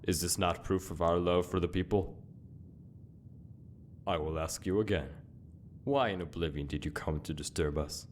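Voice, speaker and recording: A deep, grave inquisitor acted by a man preaches with zealous self-righteousness. The delivery is solemn and rhetorical, like a fantasy game villain's monologue, over faint background noise. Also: lightly acted. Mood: solemn, accusing. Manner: solemn, deliberate, rhetorical speechmaking.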